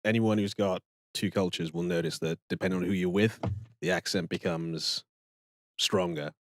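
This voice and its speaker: Man from Nigeria, lowish voice, loose rambling co-host who laughs easily. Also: steady tone, normal speed, no room sound, studio quality. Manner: rambling, laughs often, many fillers. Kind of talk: casual chat on a live stream.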